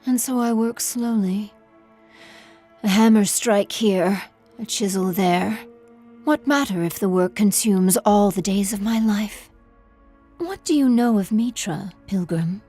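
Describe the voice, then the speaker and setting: A woman playing a solemn, haunted priestess and sculptor in a video game, speaking with measured reverence in a husky voice. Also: medium pitch, mellow, close to an everyday voice. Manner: slow, measured, reverent speech. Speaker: religious character in a video game. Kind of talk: video game character dialogue.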